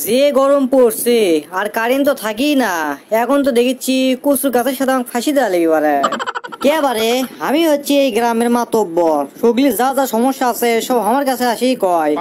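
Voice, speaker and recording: A man's voice: very high voice, lively intonation, a little fast, a little mellow, a little hiss or hum. A man voicing a wily, grumbling young village headman in a comic cartoon, speaking with a rustic Bogura accent from northern Bangladesh. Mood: grumbling, boastful. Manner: rustic, complaining, wisecracking. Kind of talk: comic cartoon dialogue and monologue.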